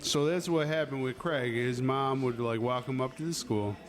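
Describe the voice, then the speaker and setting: A man's voice: medium pitch, normal speed. A man hosting a casual podcast, rambling with lots of fillers and cutting in often, in a busy venue. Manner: rambling, many fillers, keeps interrupting. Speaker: podcast host. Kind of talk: casual chat. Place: busy venue with background chatter. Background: music and chatter.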